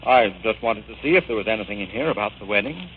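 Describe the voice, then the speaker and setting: Man playing an earnest, excitable fiancé, noisy radio. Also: fairly low pitch, fast talker, lightly acted. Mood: earnest, modest. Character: earnest, stiff self-made fiancé, eager to impress. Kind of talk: 1940s radio comedy acting. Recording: noisy, muffled vintage radio recording.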